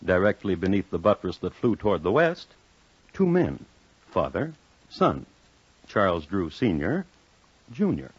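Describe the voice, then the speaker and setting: A man playing a wry, urbane crime-story host, narrating with droll, deliberate precision in old-time radio style. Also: sits low, very animated, slightly rough, no room sound, exaggerated voice. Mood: droll, scene-setting. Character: wry, urbane connoisseur of crime telling murder tales. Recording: dull old radio recording. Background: music.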